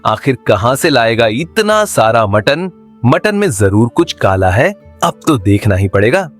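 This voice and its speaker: A man playing an excitable village mutton seller in a cartoon, complaining and emphatic. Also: mid-range voice, unhurried, faint music behind. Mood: suspicious. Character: village mutton sellers, excitable, put-upon adult men. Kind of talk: Hindi cartoon voice acting.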